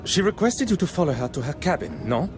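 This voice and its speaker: An actor playing a formal, courteous foreign detective with a French-speaking Belgian accent in a video game, precise and probing. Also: male voice, fairly high pitch, very animated, fairly quick, background noise. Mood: stern, probing. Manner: formal, precise, polite phrasing.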